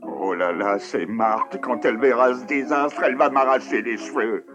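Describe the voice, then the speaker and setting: An actor voicing a fussy, easily exasperated older neighbor in a French cartoon dub, groaning and pleading. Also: male voice, medium pitch, moderate pace, close to an everyday voice. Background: cartoon music score.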